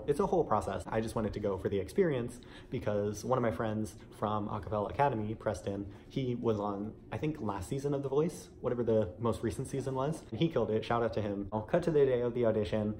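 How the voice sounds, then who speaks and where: A man's voice: fairly low pitch, fast talker, warm tone, a little hiss or hum. A young American man telling a story casually to camera in a chatty, conversational way. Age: young adult. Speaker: vlogger sharing an audition experience.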